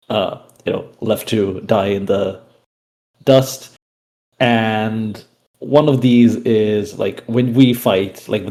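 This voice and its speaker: A man explaining his character casually in a tabletop game, halting and thoughtful. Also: fairly low pitch, mellow. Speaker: player in a tabletop roleplaying game.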